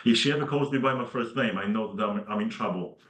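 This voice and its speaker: A man's voice: on the low side, fast, fairly bright tone, reverberant. A man answering as an interview guest, talking in a hesitant, laid-back and chatty way. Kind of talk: casual video call interview.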